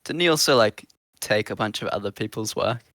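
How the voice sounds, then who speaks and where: A young adult man with a bright, forward voice, recorded dry and close with no room sound. He explains with easy confidence, steadily correcting the others in casual chat. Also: on the low side, expressive, a little fast, no echo. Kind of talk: casual chat among players.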